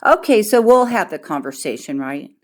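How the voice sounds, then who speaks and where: Woman, average pitch, member of the public in a dispute call; insistent, argumentative, repetitive for emphasis. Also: sing-song, normal speed. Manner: insistent, repeats phrases for emphasis. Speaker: member of the public requesting records. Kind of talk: phone dispute, argumentative talk.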